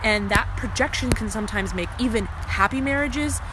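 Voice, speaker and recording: Woman, slightly high pitch, casual advice vlog, conversational, emphatic, noticeably noisy recording. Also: normal speed. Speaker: relationship advice vlogger. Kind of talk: casual advice talk to camera.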